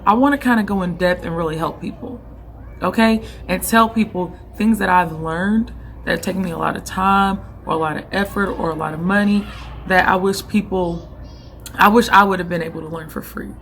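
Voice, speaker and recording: A young woman speaks in a slightly low, hoarse voice, half gone, as she hands out casual vlog tips on selling online. She is direct and pushy in a friendly way, often pausing to make sure the listener is with her. Faint background noise sits under the recording. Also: a bit bright. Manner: direct and coaching, keeps checking in with "okay?". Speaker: online boutique owner giving business tips.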